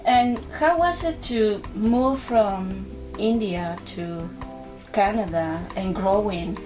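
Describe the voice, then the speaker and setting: A woman's voice: medium pitch, slow, over music. A woman hosting a talk show, reading an introduction and asking simple, direct questions, sometimes stumbling over her words.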